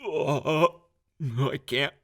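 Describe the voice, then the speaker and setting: A woman's voice: sits low, fairly even intonation, very slow, vocal fry, fairly bright tone, heavily acted. A woman playing a panicky, squeamish deputy guard who stammers nervously in a post-apocalyptic radio drama. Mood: nervous, panicked.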